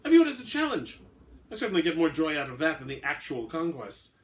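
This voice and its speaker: A man's voice: fairly high pitch, wildly swooping pitch, quick pace, pitched above the performer's own voice. A man voicing a suave, haughty centuries-old vampire with formal, pompous phrasing, in a dramatic story reading. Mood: urbane, amused. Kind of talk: dramatic reading of an all-dialogue short story.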